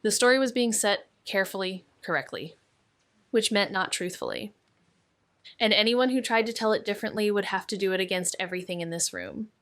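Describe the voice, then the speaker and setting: An actress playing a watchful, cynical young woman sleuth, narrating a noir audio drama in a measured, wry way. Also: medium pitch, fairly bright tone, natural voice. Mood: wry, restrained. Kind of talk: scripted noir narration and dialogue.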